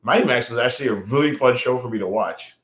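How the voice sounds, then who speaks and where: A man's voice: mid-range voice, fast talker, a bit bright. Chatty man streaming in a roomy echo. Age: late 30s. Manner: loose, chatty, joking with chat, lots of fillers. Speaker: livestream host. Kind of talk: casual livestream chat reading viewer comments.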